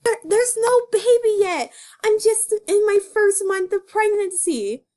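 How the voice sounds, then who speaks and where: A woman's voice: extremely high pitch, animated, a little slow, a little mellow, voice pushed up. A woman voicing an anguished young female rabbit cop, melodramatic and pleading, in an amateur comic reading. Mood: anxious explaining.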